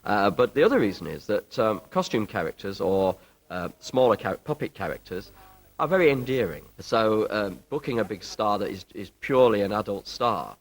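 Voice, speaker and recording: A man explaining in a measured, hesitant way during a talking-head interview. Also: fairly low pitch, expressive.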